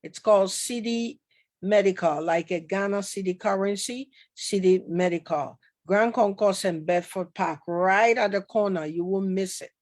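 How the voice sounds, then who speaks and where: Chatty woman hosting a talk show. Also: mid-range voice. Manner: chatty, repeats welcomes, many fillers. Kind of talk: casual interview hosting.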